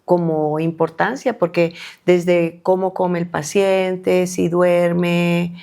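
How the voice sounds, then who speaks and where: Middle-aged woman, low voice, gravelly, halting, full of fillers, podcast guest doctor. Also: level tone, dark tone. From Colombia. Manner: halting, many fillers and restarts. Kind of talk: podcast interview.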